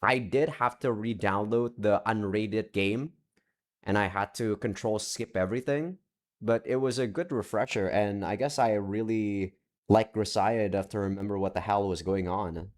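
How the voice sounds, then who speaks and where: A young man with a fairly low voice gives a loose, unscripted update to his viewers. He rambles and breaks off mid-sentence, peppering his talk with casual swearing as he searches his screens. It is recorded in his room at home. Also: moderate pace. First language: not Japanese. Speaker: visual novel let's play creator. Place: home room with several monitors.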